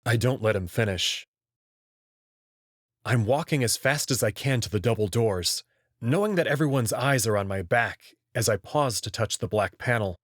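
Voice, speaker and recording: A man reading a visual novel's first-person narration aloud, with an earnest, expressive storytelling delivery. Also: mid-range voice, moderate pace, no room sound, clean studio sound.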